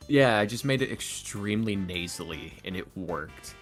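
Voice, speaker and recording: A man chatting casually as a podcast guest, animated and halting, often repeating himself as he goes. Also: on the low side. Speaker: podcast guest, ASMR roleplay creator and voice actor. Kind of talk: casual interview chat. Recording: music playing behind the voice. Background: background music.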